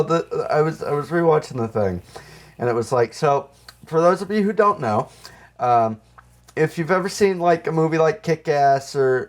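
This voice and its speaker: A man co-hosting a podcast in casual, comedic chat, explaining things in a hesitant, conversational way. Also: medium pitch. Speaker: podcast co-host.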